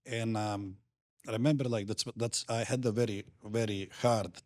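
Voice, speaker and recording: A middle-aged man from Montenegro with a Montenegrin accent, talking as a guest in an interview in halting, repetitive, simple phrases. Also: fairly low pitch, steady tone. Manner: halting, repeats words, simple grammar. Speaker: guest entrepreneur. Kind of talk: podcast interview.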